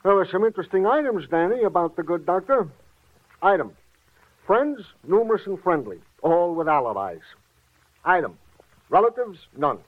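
An actor playing an eager, chatty, fussy police sidekick in a theatrical 1940s radio drama style. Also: male voice, high voice, sing-song, moderate pace, narrow, tinny audio. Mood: eager reporting. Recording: band-limited vintage radio recording.

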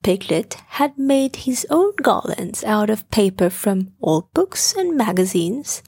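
A woman narrating a bedtime story in a hushed, gentle manner. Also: medium pitch, very animated, takes it slowly, vocal fry, slightly rough, no room sound, studio quality.

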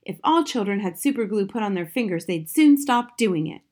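A woman voicing a bossy, scolding wife and mother in a storybook, with a sharp, nagging manner. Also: medium pitch, lively intonation, moderate pace, close to an everyday voice. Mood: indignant.

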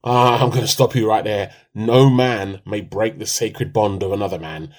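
A man's voice: fairly low pitch, normal speed, natural voice. A man, playing a timid, comically earnest, melodramatic husband in a radio play. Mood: mock solemn. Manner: pompous, excitable. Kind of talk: amateur radio play dialogue.

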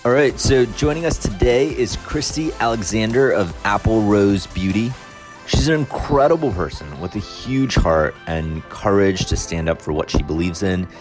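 A man hosts a podcast interview with a deep, low voice. He talks in an upbeat way with filler words. Faint music plays behind him. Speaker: podcast host.

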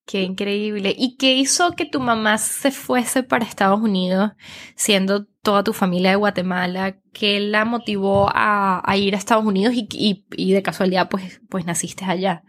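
A woman's voice: mid-range voice, steady tone, normal speed, a bit bright. A woman hosting a podcast. She talks in a chatty, upbeat way and repeats words for emphasis, both in her intro and while interviewing. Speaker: podcast host.

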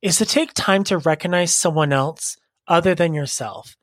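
A podcast host with a raspy voice, telling a heartfelt story and giving advice in a casual, rambling way. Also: mid-range voice, normal speed, dry recording, clean studio sound. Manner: earnest, heartfelt, rambling with fillers. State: raspy voice today.

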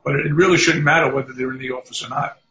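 An older man with a strong New York accent talks in an easy, chatty way, slipping in wry asides about himself. His mid-pitched voice comes through a somewhat muffled, lo-fi remote connection. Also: fairly even intonation, quick pace, pushed and bright. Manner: chatty, self-deprecating asides. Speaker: guest, recruiting firm founder. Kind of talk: podcast interview. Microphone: remote call. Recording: dull lo-fi remote audio.

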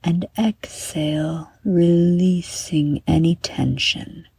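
A woman narrating a scripted bedtime meditation as a guide, soothing and unhurried, letting her phrases trail off into breath. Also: lowish voice, fairly even intonation, creaky, darkish tone, breathy edge.

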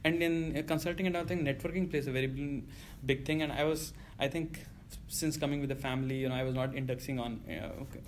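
A man's voice: mid-range voice, steady tone, fast, few pauses, slight background noise. A man in his thirties from India with an Indian accent, telling his story in a casual interview, cursing often and rambling with fillers. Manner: profane, rambling, lots of you know fillers. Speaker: guest.

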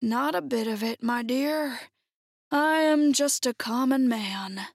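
A woman's voice: high voice, slow pace, vocal fry, no echo, clean studio sound, heavily acted. A woman voicing a timid little old man, a humbug wizard, in a storybook reading, meek and pleading. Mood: meek, hushed.

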